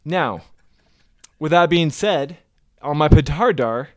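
A man's voice: a little high, expressive, takes it slowly, dry recording. Chatty man, dry close sound. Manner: rambling, repeats words when starting sentences. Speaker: podcast co-host. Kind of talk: casual chat.

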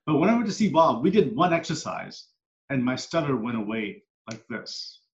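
A middle-aged man, guest on a podcast, talks through his past in an earnest, reflective way. His voice sits at an ordinary pitch. It echoes in a roomy space and comes through dull and lo-fi. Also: big pitch swings, moderate pace. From Canada, moved there at ten. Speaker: guest, coach and speaker. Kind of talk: storytelling in a podcast interview. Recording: echoey room, dull lo-fi sound.

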